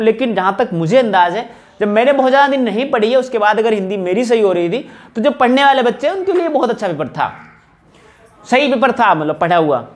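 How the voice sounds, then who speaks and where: A man gives an informal explanatory lecture as a coaching teacher, often repeating phrases for emphasis. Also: very high voice. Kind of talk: informal exam analysis lecture to camera. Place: small indoor room, at a whiteboard. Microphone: wired lapel mic.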